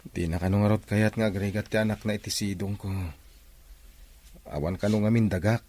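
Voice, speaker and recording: Radio drama son, male actor: young adult, earnest and resolute, lowish pitch, Ilocano accent. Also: fairly even intonation, a little fast, warm tone, close to an everyday voice. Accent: Filipino, Ilocano. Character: earnest, determined young man confiding in his mother.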